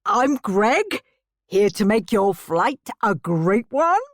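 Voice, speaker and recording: A woman's voice: medium pitch, big pitch swings, takes it slowly, no room sound, studio quality. A woman voicing a curt, bossy male flight attendant with a snappy way of ordering people about. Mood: fake cheerful.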